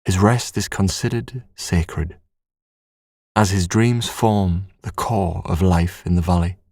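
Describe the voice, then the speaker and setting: A man narrating a scripted sleep story in a soothing, measured, hushed bedtime manner. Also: low-pitched, steady tone, dry recording, studio quality.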